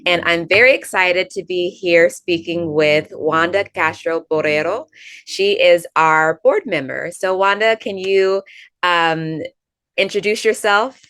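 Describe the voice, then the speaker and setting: A woman's voice: lowish voice, unhurried, a bit bright, clear, resonant tone, no echo. A woman hosting an interview in a friendly, prepared style, with an upbeat, encouraging manner. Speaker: interviewer, nonprofit communications director.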